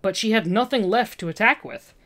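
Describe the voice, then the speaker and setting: A woman's voice: mid-range voice, brisk, a bit bright. Woman narrating a dramatic story. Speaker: fan fiction narrator.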